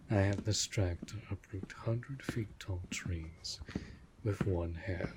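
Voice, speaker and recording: Vampire master for roleplay audio drama, male actor: very low, slow delivery, formal, tender and protective. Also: very noisy, full character voice. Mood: protective, amused. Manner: formal, measured, gentle, with breathy murmurs. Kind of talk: scripted roleplay audio drama addressed to the listener.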